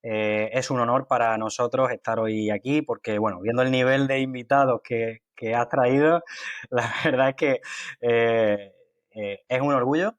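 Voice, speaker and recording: A man from Málaga with an Andalusian accent talks as an interview guest, starting over often and filling gaps with hesitations. His voice sits at an ordinary middle pitch. The recording is dry and close, with little room sound. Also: no room sound. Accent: Andalusian Spanish from Málaga. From Málaga, Spain. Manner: halting, with many fillers and restarts. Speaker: guest, water industry engineer. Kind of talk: conversational interview.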